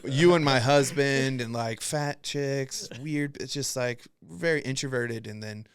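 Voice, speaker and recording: Dry, mid-pitched casual male podcast host. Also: a little slow, clean tone, no echo. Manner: profane, rambling storyteller who acts out quotes.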